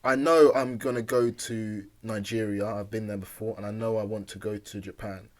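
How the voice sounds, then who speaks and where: A young man co-hosting a podcast in casual chat, easygoing and hesitant, on a boom-arm mic in a small home room. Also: fairly even intonation, normal speed. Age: young adult. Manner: easygoing, agreeable, hesitant with many ums. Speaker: podcast co-host. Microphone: studio mic on boom arm.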